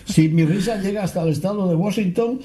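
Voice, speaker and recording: Un sacerdote que conduce un programa de radio y cuenta anécdotas con humor y risas ligeras. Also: male voice, high voice, fairly quick, warm tone. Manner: jovial, chuckles at his own anecdotes. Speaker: priest and radio host. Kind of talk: relaxed storytelling on a call-in radio show.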